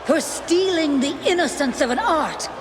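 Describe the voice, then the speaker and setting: A woman's voice: sits high, expressive, moderate pace, crowd noise, background noise. A woman playing a merciless, theatrical judge in a cartoon game, pompous and declaiming. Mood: accusing. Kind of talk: cartoon video game acting.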